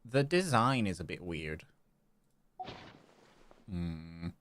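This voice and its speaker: Casting: male streamer, informal and playful, expressive lowish voice, faint noise behind. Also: extremely slow. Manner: playful, chatty. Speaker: game livestreamer. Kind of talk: casual live gameplay commentary, reading dialogue aloud.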